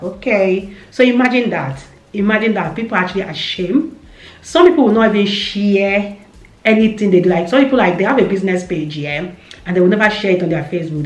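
A woman in her thirties with a Nigerian accent, chatting casually to camera about business, animated and repeating herself for emphasis. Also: medium pitch. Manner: animated, repeats phrases, gestures a lot with hands. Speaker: vlogger. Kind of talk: casual business advice chat. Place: indoor room with shelves. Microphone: camera in front, seated. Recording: roomy echo.

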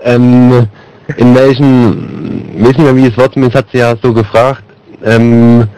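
A man's voice: medium pitch, level tone, measured pace, dark tone, smooth, no room sound. A man making a prank phone call, chatting casually in a straight-faced, hesitant way. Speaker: prank caller. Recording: noisy amateur recording of phone prank.

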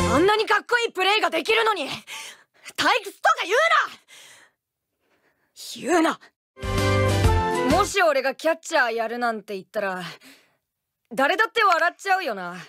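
Game role: fiery little ballplayer boy, very high, emotional, plain-spoken, over music. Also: expressive, normal speed, long pauses. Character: earnest, fiery young boy baseball player. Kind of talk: anime-style game acting.

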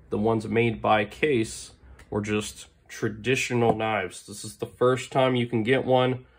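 A hoarse man getting over a lost voice, hosting a knife channel with eager, casual show-and-tell and frequent throat clearing. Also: mid-range voice. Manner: enthusiastic, clears throat often, filler-heavy. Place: indoors at a desk. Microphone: overhead camera on table, hands only.

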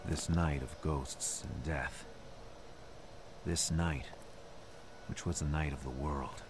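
A haunted narrator in a horror game, very deep and slow, brooding and half-whispered. The lines sit over a steady hiss of game noise. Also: male voice, exaggerated voice. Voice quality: low, slow and brooding, hushed to a whisper at times.

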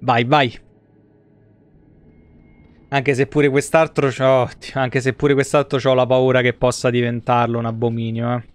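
A man's voice: medium pitch, flat delivery, unhurried, pauses often. A man giving casual, foul-mouthed and jokey live game commentary in slangy speech. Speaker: video game streamer.

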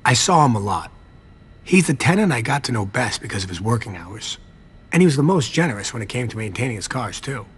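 A servile, jittery vampire garage attendant in a game cutscene. His husky voice swings widely in pitch as he ingratiates himself and dodges around things. He sounds eager to please and easily cowed. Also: big pitch swings, a little fast, a bit bright, full character voice. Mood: eager, explaining. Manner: pleading, deferential, evasive. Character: nervous, servile young vampire garage attendant hiding a secret. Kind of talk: video game cutscene acting.

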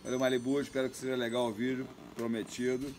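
A man's voice: medium pitch, flat delivery, fast, darkish tone, slight background noise. A man hosting a casual outdoor chat, closing with short, friendly remarks. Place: garden outdoors.

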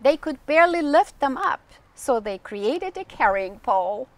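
An older woman reading a children's story aloud as a storytime host, in a gentle, expressive manner that addresses young listeners. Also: high voice, a little slow, dry recording. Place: outdoors on a garden bench.

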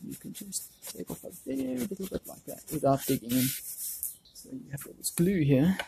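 A man's voice: on the high side, sing-song, moderate pace, vocal fry, warm tone. A man giving casual hands-on workshop commentary, informally thinking aloud as he works. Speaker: DIY video presenter. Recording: background noise, clicks and handling sounds.

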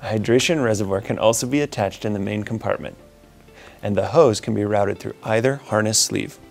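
A man in his thirties, upbeat and steady, walking through product features in a scripted presentation. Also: lowish voice, a little fast, gravelly, soft background music. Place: indoor studio with wooden wall.

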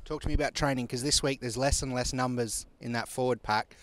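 A man interviewing a player pitchside after a match, asking brisk, direct questions. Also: fairly even intonation. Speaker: club interviewer. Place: outdoor stadium pitchside at night. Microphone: handheld mic.

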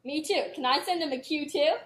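Young woman playing a high-voiced naive villager, echoey. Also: fairly quick, fairly bright tone. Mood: keen, indignant. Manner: questioning, naive. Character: curious, literal-minded, simple village woman. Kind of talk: live comic stage play acting. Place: theatre stage, indoors. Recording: roomy, echoey stage recording.

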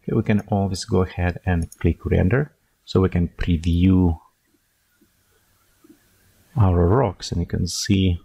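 Tutorial narrator: man, deep gravelly husky voice, dark tone, dry close mic, thinks aloud while clicking. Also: steady tone, unhurried, long pauses, no room sound. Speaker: software tutorial instructor. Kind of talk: screen-recorded software tutorial.

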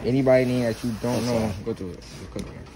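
A man's voice: mid-range voice, fairly even intonation, fast. A young man casually interviewing shoppers and bantering in a brash, slangy way, recorded on a handheld camera in a big store. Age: young adult. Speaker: prank interviewer. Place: inside a large discount store. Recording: noisy big-store handheld phone audio.

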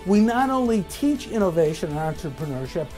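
Older man, business school dean, mellow voice, deliberate pace, soft background music. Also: sits high, very animated. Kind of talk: promotional interview. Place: indoor office.

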